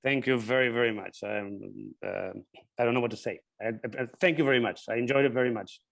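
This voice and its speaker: Male guest academic, German accent, bilingual German-Spanish native, gravelly creak, thoughtful halting answers. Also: mid-range voice, fairly bright tone. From grew up with German and Spanish. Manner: halting, repeats words while thinking. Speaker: guest speaker, linguist. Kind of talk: thoughtful spontaneous question-and-answer.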